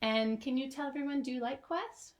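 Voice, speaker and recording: A woman's voice: sits high, a little fast, a little hiss or hum. A woman who teaches children, reading a picture book aloud expressively and chatting to young listeners. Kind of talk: children's storytime reading and chat.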